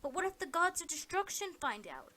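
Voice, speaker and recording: A woman's voice: extremely high pitch, sing-song, fairly quick, bright, forceful tone, voice pushed up, exaggerated voice. A woman voicing an incredulous male underling in an audio play, questioning and arguing emphatically. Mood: incredulous, alarmed.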